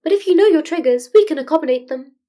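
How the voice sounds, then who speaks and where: A woman voicing a well-meaning male animal school principal, diplomatic and gently insistent, in an audiobook story. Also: high voice, expressive, quick pace, pitched above the performer's own voice. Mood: gently advising. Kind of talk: fan fiction audiobook character voice.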